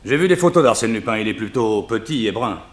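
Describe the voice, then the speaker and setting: A male actor plays a calm hospital official in a sixties French radio serial. His lowish voice is precise and matter-of-fact. The room rings around him with clear echo. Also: fairly quick, lightly acted. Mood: calm, corrective. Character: calm, reasoning hospital staff man correcting patient. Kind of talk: 1960s French radio drama acting.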